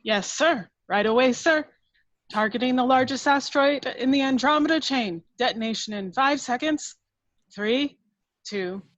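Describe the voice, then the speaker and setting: A woman's voice: high voice, unhurried, dry recording, highs cut off. A woman playing an obedient, formal starship officer in an amateur script read. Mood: dutiful, formal.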